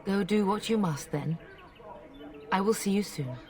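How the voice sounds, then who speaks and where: An actress playing a middle-aged noblewoman mother, gentle but firm, in a video game. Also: mid-range voice, unhurried, distant mic, close to an everyday voice. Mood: loving.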